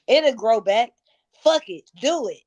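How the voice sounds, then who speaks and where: A woman's voice: fairly high pitch, expressive, takes it slowly, darkish tone. A woman in her thirties telling stories candidly in a casual interview, with slangy, profane, emphatic phrasing. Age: 30s. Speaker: interview guest, music performer.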